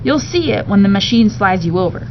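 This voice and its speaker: Storybook male med tech read by a woman, instructive and joking, deadpan delivery; slightly noisy, lo-fi sound. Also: mid-range voice, fairly quick, natural voice. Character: joking, brisk male radiation technician giving instructions. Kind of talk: children's novel read aloud.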